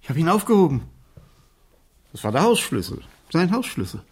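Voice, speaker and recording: A man playing an evasive, irritable uncle in a German radio crime drama, halting and easily indignant. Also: fairly high pitch, big pitch swings, a little mellow, slightly rough. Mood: uneasy, evasive. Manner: hesitant, halting, turning indignant when pressed. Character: evasive, irritable uncle questioned by his teenage niece.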